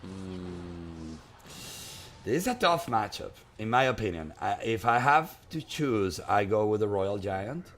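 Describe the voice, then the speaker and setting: A male esports caster speaks with a strong Japanese accent. His pitch moves around a great deal as he chats and calls the game, often repeating phrases for emphasis. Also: measured pace, slight background noise. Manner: animated, chatty, emphatic repetition. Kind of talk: excitable live game commentary and banter.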